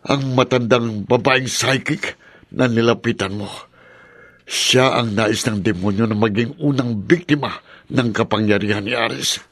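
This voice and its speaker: Radio horror father: husky man, mid pitch, fearful and pushy, urgent, dry studio. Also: measured pace, fairly bright tone, no echo. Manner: urgent, dramatic. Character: fearful, pressuring father bound to a demon. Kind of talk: Filipino radio horror drama acting.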